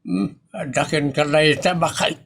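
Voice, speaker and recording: A man's voice: a little high, fairly even intonation, normal speed, gravelly, fairly bright tone. A man playing a grumbling, scornful, indignant husband in a comic village audio drama, with a Filipino Ilocano accent.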